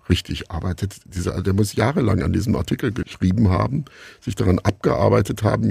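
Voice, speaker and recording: A man's voice: sits low, creaky, darkish tone, slightly rough, no room sound. A man being interviewed as a radio play maker, thinking aloud in a reflective, discursive way.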